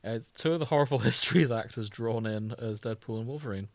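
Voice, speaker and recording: A man with a medium-pitched voice talks casually as a podcast co-host. The sound is dry and close, with an informal conversational flow. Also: quick pace, gravelly, dry recording. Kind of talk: casual chat about fandom.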